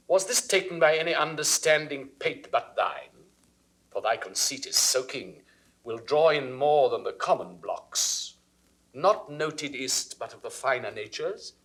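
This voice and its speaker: A man's voice: fairly high pitch, fairly bright tone, low-quality recording. An actor playing a jealous, suspicious king with a British accent, in theatrical classical Shakespearean style for an audio drama. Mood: brooding suspicion. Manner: theatrical, crisply declaimed, dropping into whispers. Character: jealous, suspicious king brooding over his wife's imagined betrayal. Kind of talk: classical Shakespearean stage acting, 1960s audio recording.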